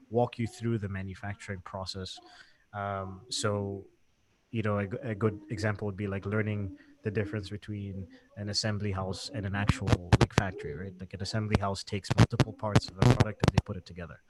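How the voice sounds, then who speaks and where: A man hosting a casual livestream interview, talking in a relaxed, chatty way with many fillers and restarts. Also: on the low side, heavy background noise. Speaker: interview host.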